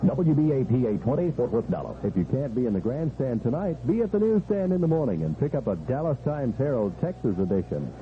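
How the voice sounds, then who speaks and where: A man's voice: medium pitch, very animated, a little fast, few pauses, phone-quality sound. A man reading a scripted station identification and promotional spot as a radio announcer. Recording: thin, faintly noisy 1970s AM radio.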